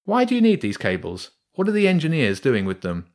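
A man's voice: a little high, wildly swooping pitch, brisk, voice pushed up. A man voicing a curious, determined young boy in a children's storybook, asking eager, probing questions.

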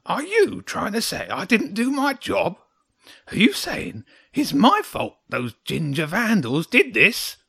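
A man voicing a grumpy, defensive little old hedgehog guard in a children's audiobook, indignant and mocking in a high, creaky voice. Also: wildly swooping pitch, slow, a bit bright, dry recording, studio quality, exaggerated voice. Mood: defensive, angry. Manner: indignant, spitting, mocking, exclamatory. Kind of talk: children's audiobook character acting.

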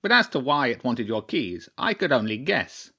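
A man's voice: mid-range voice, sing-song, normal speed, sharp tone, slightly rough, voice pushed up, heavily acted. A man voicing a stern, shrewd old crow chairman in a storybook, speaking formally and pompously. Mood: shrewd, measured. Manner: pompous, clipped, formal pronouncements.

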